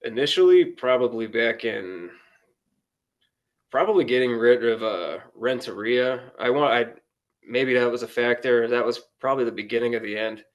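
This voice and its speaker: A man's voice: medium pitch, normal speed. A man of about thirty-one chatting casually as a guest sports fan, with a rueful, self-deprecating humour. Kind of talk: casual chat.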